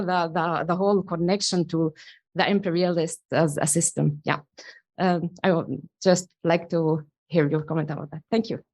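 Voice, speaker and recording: A woman's voice: mid-range voice, a little mellow. A woman from Syria giving a comment to a lecturer from the audience, hesitant and searching for her words.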